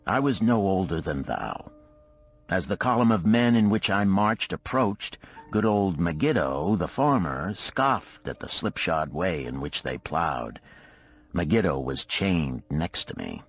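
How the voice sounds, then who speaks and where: A man playing a dignified old merchant who tells his past in measured, formal, archaic speech, in an audiobook. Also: sits low, expressive, breathy edge, exaggerated voice. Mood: measured recollection.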